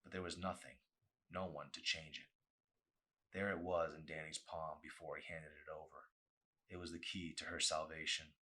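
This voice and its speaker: A man's voice: rumbling low, little pitch movement, moderate pace, pushed and bright, breathy edge. A man reading a novel aloud as a dramatic audiobook, with an intense, theatrical delivery.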